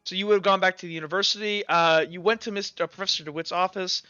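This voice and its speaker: A man working as game master, improvising tabletop roleplay narration in informal, halting pieces. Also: high voice, steady tone, pushed and bright, clear, resonant tone, dry recording. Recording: slightly dull audio, music underneath. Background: music.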